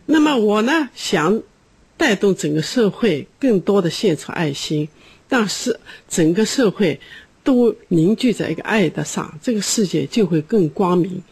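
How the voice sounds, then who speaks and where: A schoolteacher speaking earnestly in an interview, often pausing with hesitations. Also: female voice, medium pitch, animated, a little mellow, breathy edge. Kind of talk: interview testimony.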